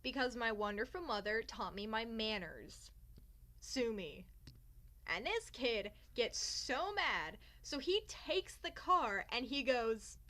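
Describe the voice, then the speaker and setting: A young woman with a high, very bright voice chats casually through a personal story. She is theatrical and sarcastic, poking fun at herself as she goes. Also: expressive, slow. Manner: theatrical, sarcastic, self-mocking. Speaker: vlogger telling a personal story. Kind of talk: casual storytime chat to camera.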